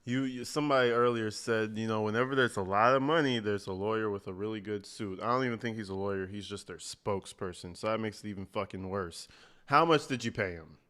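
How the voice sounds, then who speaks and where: A man's voice: fairly low pitch, brisk, a bit bright. A man hosting a reaction stream, giving casual, opinionated commentary in a blunt, profane, conversational way. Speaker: host of a reaction livestream.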